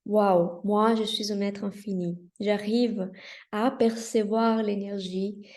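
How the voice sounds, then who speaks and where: A Brazilian woman with a Brazilian Portuguese accent, a Reiki teacher greeting viewers of her channel in a slow, earnest, softly hesitant way, speaking outdoors in the mountains. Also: mid-range voice, steady tone. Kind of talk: spiritual welcome address to viewers.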